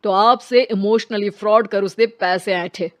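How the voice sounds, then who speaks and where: A woman's voice: mid-range voice, fairly even intonation, unhurried, lightly acted. A woman voicing blunt, scornful men such as policemen and husbands in a dramatised cartoon story. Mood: questioning. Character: male characters: gruff policemen and scornful angry men. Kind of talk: dramatised Hindi story dialogue.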